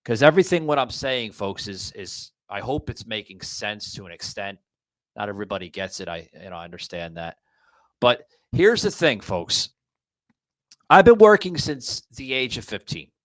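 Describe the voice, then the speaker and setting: A man's voice: on the low side, very animated, moderate pace, no echo, rough recording. A man in his forties hosting a podcast, rambling and repeating himself as he talks to his listeners. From Florida. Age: 40s. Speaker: podcast host. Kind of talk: casual rant monologue.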